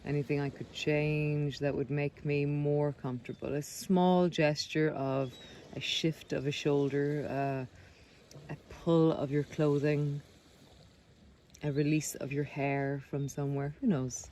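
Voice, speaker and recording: A man leading a guided body scan meditation, speaking gently and unhurriedly with pauses between phrases. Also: a little high, flat delivery. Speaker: meditation guide, breath coach. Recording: clear background noise of sea sounds. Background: sea sounds.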